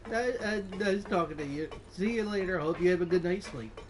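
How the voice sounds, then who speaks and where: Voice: mid-range voice, a little fast, a little hiss or hum. A game streamer chatting casually with viewers during a livestream.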